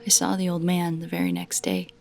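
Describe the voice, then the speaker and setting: A woman narrating a scripted first-person creepy story in a measured way, with dramatic pauses between short phrases. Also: on the low side, big pitch swings, vocal fry, darkish tone, soft background music.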